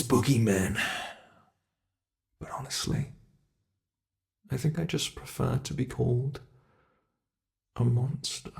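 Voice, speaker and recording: A man's voice: mid-range voice, vocal fry, a little mellow. A man voicing an ancient, playful monster under the bed, with a British accent, teasing and menacing in a whispery ASMR roleplay.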